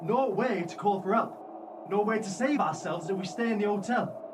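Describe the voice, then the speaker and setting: A man's voice: sits high, moderate pace, natural voice. A man playing a fearful, insistent hotel worker giving grave warnings in a radio play. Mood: grave, urgent. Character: fearful, urgent hotel insider warning of hidden danger. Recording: distant mic, noisy outdoor ambience.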